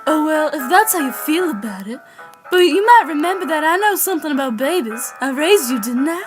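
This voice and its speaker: A woman's voice: high voice, expressive, brisk. A woman acting a well-meaning, fussy middle-aged grandmother in a theatrical 1940s radio advertisement. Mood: mildly hurt. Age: teens. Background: music.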